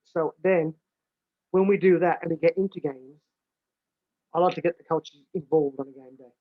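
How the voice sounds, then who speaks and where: A man's voice: fairly high pitch, normal speed, dry recording. British man with a big voice over a tinny line. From United Kingdom. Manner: restarts and repeats words often, plain-spoken. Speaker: American football coach. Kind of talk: casual explanatory talk. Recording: dry, tinny phone-like line.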